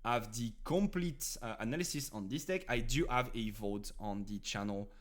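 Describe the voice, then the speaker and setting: A man's voice: medium pitch, normal speed. A young man from France with a French accent, streaming casually and chatting with viewers about card game decks, rambling with many fillers and restarts.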